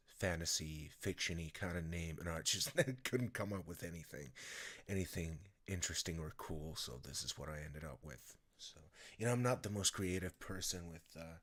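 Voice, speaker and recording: Tired male streamer, deep low voice, rambling off-the-cuff chat to viewers, lots of fillers. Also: a bit bright. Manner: rambling, halting, many fillers and restarts. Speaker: live streamer.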